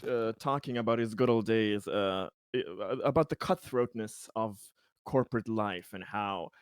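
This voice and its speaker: A man chatting casually as a roleplaying player, halting and repeating words as he searches for phrasing. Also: lowish voice, clear, resonant tone, no echo, studio quality. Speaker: player in a tabletop roleplaying game. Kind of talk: casual game chat.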